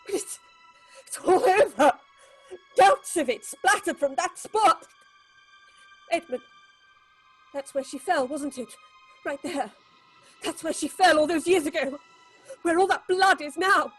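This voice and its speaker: Horror drama role: unhinged actress seeing blood. Very high voice, slow, feverish, halting delivery over music. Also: female voice. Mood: haunted, hushed. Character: unhinged theatre actress haunted by visions of blood. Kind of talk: horror audio drama acting.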